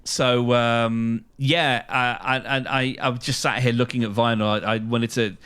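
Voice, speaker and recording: A man's voice: medium pitch, level tone, a little slow, a bit bright, clean tone. A British man co-hosting a podcast in casual chat, speaking hesitantly with repeated words and trailing thoughts. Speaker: podcast co-host.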